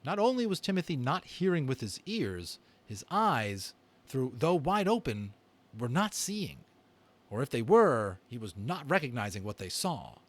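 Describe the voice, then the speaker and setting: A man narrating a children's book as an amateur reader, in a dramatic, deliberate storytelling style. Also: mid-range voice, very animated.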